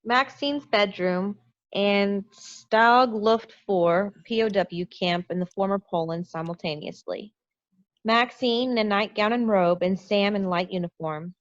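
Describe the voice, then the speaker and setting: A woman's voice: medium pitch, unhurried, no echo. A woman reading scripted stage directions for an amateur play reading over a video call. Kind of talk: scripted narration at table read. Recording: muffled dry video call.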